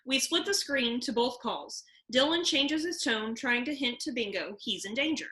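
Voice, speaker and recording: Bright-voiced woman narrator in a roomy space. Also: high-pitched, lively intonation, moderate pace. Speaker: narrator reading stage directions. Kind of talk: scripted narration for comedy table read. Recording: roomy echo.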